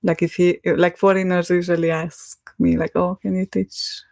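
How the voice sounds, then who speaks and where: A woman's voice: lowish voice, flat delivery, moderate pace, vocal fry, darkish tone, no room sound, studio quality. A young woman with a Hungarian accent, a podcast guest talking in a rambling, hesitant way and laughing often between thoughts. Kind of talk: casual conversation.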